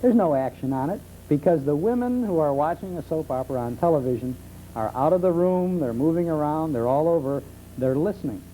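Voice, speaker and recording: A middle-aged man being interviewed as a talk show guest, explaining things conversationally with hesitant pauses. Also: a little high, lively intonation, mellow. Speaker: talk show guest, old-time radio enthusiast. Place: television studio. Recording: muffled 1970s television tape with hum.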